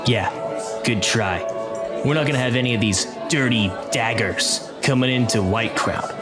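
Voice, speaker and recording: A man's voice: mid-range voice, moderate pace, lightly acted. A man voicing a haughty, bigoted elite guard with a sneering, contemptuous manner in a tabletop roleplay. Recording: loud background music.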